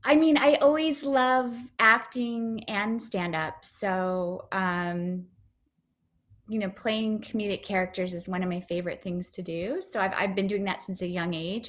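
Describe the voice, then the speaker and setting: Bright-voiced woman chatting on a video call. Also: fairly low pitch, a little slow. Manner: chatty, upbeat, with many fillers and restarts. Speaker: comedian and actor, interview guest. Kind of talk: casual interview answers over video call.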